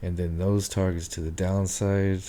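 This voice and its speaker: A man walking through market charts on a screen share, thinking aloud with many ums and a hoarse voice. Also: low voice, level tone, unhurried, dark tone, slight background noise. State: hoarse, clearing his throat. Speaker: market analyst.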